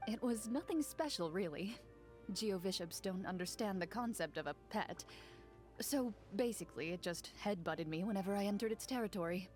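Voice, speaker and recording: An actress voicing a composed, reserved young woman duelist in a video game, measured, formal and dryly understated. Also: medium pitch, animated, a little mellow, faint music behind, natural voice. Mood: dry teasing.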